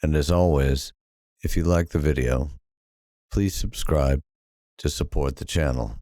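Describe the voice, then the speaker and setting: A man narrating a guided sleep hypnosis in a calm, soothing, unhurried manner with long pauses. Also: very deep voice, no echo, studio quality.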